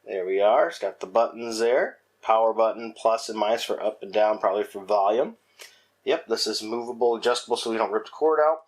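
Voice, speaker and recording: A man's voice: fairly low pitch, fairly quick. Chatty man reviewing gear. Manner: chatty, frequent self-corrections and restarts. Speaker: host reviewing a product. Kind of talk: casual product review.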